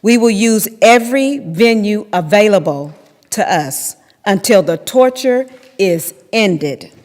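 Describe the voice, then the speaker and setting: Mid-pitched woman in reverberant hall. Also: lively intonation, slow pace, darkish tone. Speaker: reader in readers theater. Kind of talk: scripted staged reading. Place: large temple hall, at lectern. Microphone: microphone on stand. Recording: echoey hall.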